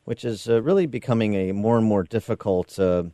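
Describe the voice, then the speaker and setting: Guy hosting talk radio, lowish voice, dry studio sound, slow measured delivery with lots of uh's. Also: mellow, no echo. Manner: deliberate, measured, with frequent uh fillers.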